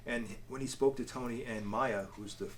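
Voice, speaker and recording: A man's voice: medium pitch, steady tone, fairly quick, a little hiss or hum. A man co-hosting a casual review chat, explaining things in a loosely worded way with asides. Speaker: co-host of a comic review show.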